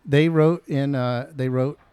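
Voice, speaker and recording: A middle-aged man from Kentucky telling a family story in casual chat, halting and full of fillers. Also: medium pitch, fairly even intonation, mellow, smooth, dry recording. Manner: halting, many fillers and repeats. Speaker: guest.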